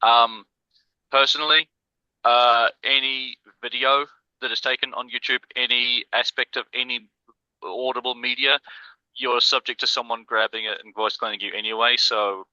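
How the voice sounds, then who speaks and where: A man's voice: medium pitch, fairly even intonation, moderate pace, pushed and bright, dry recording. A man with an accent between New Zealand and English, explaining technical points in a casual online meeting. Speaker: community member in online meeting. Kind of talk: casual discussion. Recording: slightly muffled call audio.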